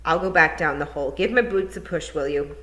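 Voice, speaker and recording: A woman's voice: deep voice, a little fast, fairly bright tone. A woman voicing a practical, determined young girl with many questions in a storybook reading. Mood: determined, impatient.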